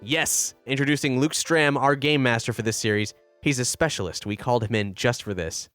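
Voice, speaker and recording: A man hosting a podcast in a studio, introducing the show and its players to listeners. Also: medium pitch. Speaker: podcast host.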